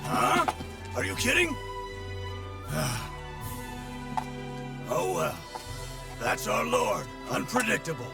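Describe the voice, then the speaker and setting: An easygoing, loyal video game warrior. His very high voice swings expressively and has a gravelly crackle. He exclaims freely, like a trusty comrade. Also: very animated, unhurried, long pauses, over loud music, full character voice. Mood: puzzled, resigned. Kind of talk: dramatic video game voice acting.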